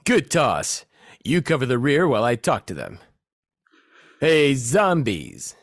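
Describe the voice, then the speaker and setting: A man acts an excitable zombie-squad leader in an audio comedy. The voice is rough-edged and hugely animated. He gives orders with a bossy, easily wounded air, at an unhurried pace. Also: medium pitch, no room sound, studio quality, heavily acted. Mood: bossy, puzzled. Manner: excitable, indignant, commanding. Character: bossy, insecure male zombie-hunting team leader. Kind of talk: comedic audio play acting.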